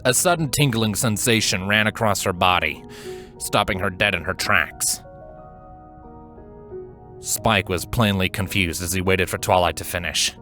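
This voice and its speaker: Low-voiced, expressive male story narrator. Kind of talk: dramatic scripted fiction narration.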